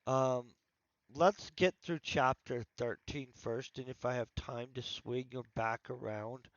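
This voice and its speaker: A man's voice: medium pitch, fairly even intonation, a little slow, no room sound, lo-fi sound. Congested man rambling on a livestream. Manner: rambling, thinking aloud with restarts. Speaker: author streaming his writing session. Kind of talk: casual livestream chat.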